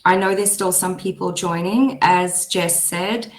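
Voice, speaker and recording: A hoarse woman giving a webinar presentation in a chatty way that laughs easily. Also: lowish voice, steady tone, unhurried. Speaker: presenter, behaviour support practitioner. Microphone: video call. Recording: echoey room.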